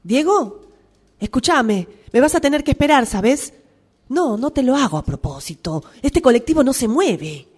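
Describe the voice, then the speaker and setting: A woman plays a fed-up girlfriend arguing by phone in a radio play. She has an Argentine accent and a fairly high voice that rises and falls a lot, with a defensive edge. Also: very animated, moderate pace, a little mellow, slightly rough, exaggerated voice. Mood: indignant. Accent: Argentine Spanish. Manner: indignant, argumentative. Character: exasperated, defensive girlfriend quarrelling with her boyfriend by phone. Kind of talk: radio drama acting, one side of a phone call.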